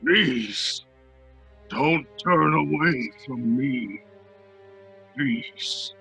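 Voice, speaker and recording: A man playing a lonely, pleading yet menacing monster in old-time radio horror style, with a raspy growl and halting phrases. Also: mid-range voice, pushed and bright, full character voice. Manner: slow, halting, fragmented phrases. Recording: music under the voice.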